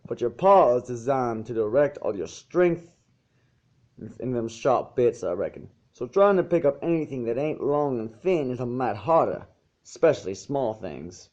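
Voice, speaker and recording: A man voicing a male farm pony in a story, steady and encouraging, with a slow drawl. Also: mid-range voice, highs cut off, lightly acted. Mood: patient, approving. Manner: slow, measured, folksy drawl.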